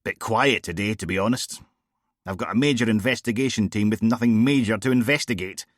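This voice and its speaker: A man voicing a brash, crude middle-aged woman who runs a police team, loud and mocking. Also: fairly low pitch, fairly quick, no room sound, clean studio sound, lightly acted. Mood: scornful.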